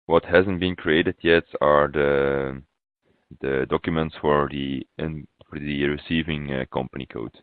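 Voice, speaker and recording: Phone-like audio, male software trainer, extremely low voice, halting step-by-step webinar walkthrough. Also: steady tone, no room sound. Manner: halting, pausing mid-phrase. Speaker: software consultant presenting a webinar demo.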